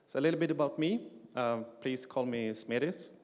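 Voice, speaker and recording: A middle-aged man explains technical material from a conference stage at a moderate pitch. He pauses often on "uh" and restarts his phrases. The sound carries a long reverb from the hall. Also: a little mellow. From Sweden. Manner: frequent "uh" fillers and restarts, plain explanatory delivery. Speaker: conference speaker, game engine developer.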